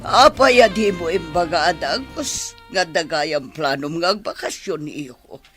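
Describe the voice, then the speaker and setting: A woman's voice: on the low side, expressive, a little slow, faint music behind. An actress with an Ilocano Filipino accent playing a disapproving, worried mother of a grown son in a radio drama. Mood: questioning, worried.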